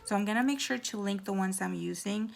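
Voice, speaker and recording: Hoarse raspy woman chatting casually. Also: medium pitch, fairly quick. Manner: chatty, filler-heavy, drops into whispers. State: hoarse from talking. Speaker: nail tutorial vlogger. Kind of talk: casual how-to chat. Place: home.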